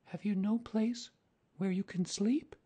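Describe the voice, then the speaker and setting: A woman's voice: mid-range voice, fairly even intonation, measured pace, mellow. A woman playing a prim, outspoken young girl. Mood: tender. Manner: crisp, prim and matter-of-fact. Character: earnest, outspoken young girl, kind-hearted. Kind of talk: audiobook drama reading.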